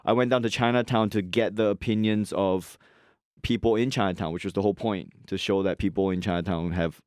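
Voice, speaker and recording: A comedian guest in a studio chat, animated and quick to laugh, explaining things with examples. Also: male voice, fairly low pitch, clear, resonant tone, dry recording. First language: English. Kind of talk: casual talk show chat. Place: radio studio. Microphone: studio mic.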